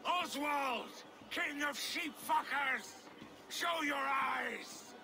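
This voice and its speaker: A man's voice: extremely high pitch, drawn-out words, raised voice, full character voice. A man playing a crude, taunting warrior who bellows his challenge in a video game. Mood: furious, taunting. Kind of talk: dramatic video game acting.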